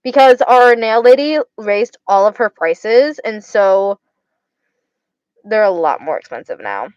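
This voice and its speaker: A woman's voice: mid-range voice, expressive, measured pace, clear, resonant tone, no echo, dull audio. A young woman with an Arizona accent, chatting casually with viewers on a stream; she stutters, slurs her words and repeats herself. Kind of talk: casual chat.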